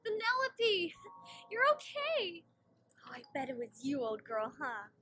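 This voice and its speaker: Woman as a very high-pitched, proud pony princess. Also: sing-song, measured pace, loud music behind the voice. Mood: relieved. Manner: dramatic, emphatic. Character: wounded, betrayed young pony princess, proud and bitter. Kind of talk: fan cartoon voice acting.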